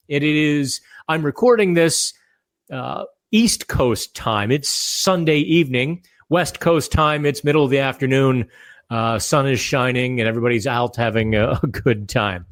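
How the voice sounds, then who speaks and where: Slightly raspy chatty man, higher voice. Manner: chatty, rambling with fillers and restarts. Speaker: podcast host. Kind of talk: casual solo podcast talk. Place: hotel room.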